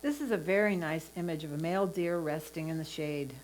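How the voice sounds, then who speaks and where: Woman, very low pitch, photo competition judge, measured instructive critique, encouraging, faint mains hum. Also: darkish tone, rough recording. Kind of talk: prepared image critique.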